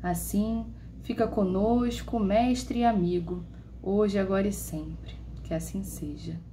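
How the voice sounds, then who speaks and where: A woman reading a devotional passage and then leading a slow, solemn opening prayer. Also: medium pitch, steady tone, very noisy.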